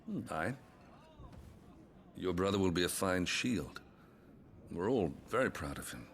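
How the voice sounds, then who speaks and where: Very deep-voiced noble father. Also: expressive, a little hiss or hum. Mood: caring. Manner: measured, reassuring. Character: noble father and ruler, kindly, solemn, proud. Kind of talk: video game drama acting.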